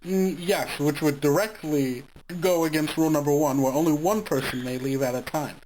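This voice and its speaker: A woman's voice: sits low, fairly even intonation, moderate pace, warm tone, slight background noise, lightly acted, rough recording. A woman voicing a smug, pedantic wish-granting arcade figure that twists rules to its liking. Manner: haughty and teasing, sticks to the letter.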